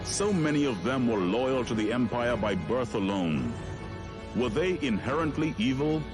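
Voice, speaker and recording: An actor playing a weary, solemn veteran of a space war, narrating game cutscenes in a grave, measured, reflective way. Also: male voice, medium pitch, expressive, lightly acted. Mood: somber. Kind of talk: dramatic scripted narration. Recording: music behind the voice. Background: prominent music.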